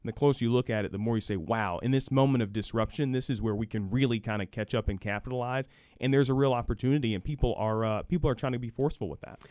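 A man's voice: medium pitch, fast, a little mellow, no room sound, studio quality. An American man explaining college sports conversationally as a guest expert in a radio interview, emphatic and fond of hypothetical examples.

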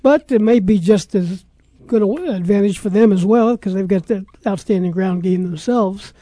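Sports radio host, American man, relaxed dark tone, dry close-mic studio sound, casual talk. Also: high-pitched, moderate pace, no room sound.

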